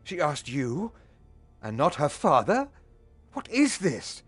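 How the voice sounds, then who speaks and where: Proud, animated old clan leader. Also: male voice, a little high, takes it slowly, husky, no echo, soft background music, full character voice. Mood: indignant. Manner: formal, emphatic. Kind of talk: fantasy game acting.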